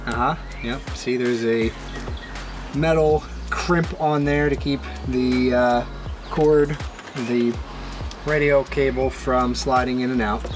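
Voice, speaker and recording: Male DIY repair host, mid-pitched, informal and thinking aloud through a hands-on job, clear music underneath. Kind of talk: casual hands-on tutorial narration.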